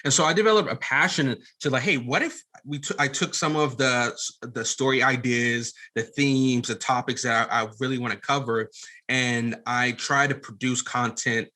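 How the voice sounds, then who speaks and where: A man's voice: medium pitch, fairly even intonation, normal speed, pushed and bright. A man talking casually as an interview guest, often restarting his phrases as he explains his work. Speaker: podcast guest, entrepreneur and audio drama producer.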